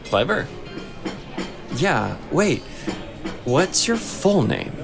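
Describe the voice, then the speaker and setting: A man's voice: on the high side, big pitch swings, drawn-out words, vocal fry, music in the background, full character voice. A man playing a stoic, weary hunter in a fantasy audio drama, dry and placating in manner.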